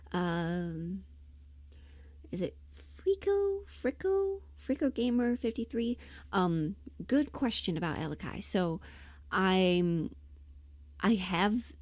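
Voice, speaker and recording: A woman's voice: mid-range voice, dark tone, no room sound. A woman chatting casually as she hosts a livestream, often muttering half to herself and trailing off as she works. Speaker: livestream host and audio performer. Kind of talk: casual livestream chat while working.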